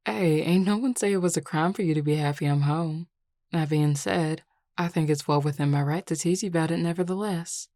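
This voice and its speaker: A woman's voice: low-pitched, a little fast, mellow, dry recording, studio quality. A woman acting a flirtatious, teasing Southern werewolf in an audio roleplay, with a Southern US accent and a colloquial drawl. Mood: playful, flirty.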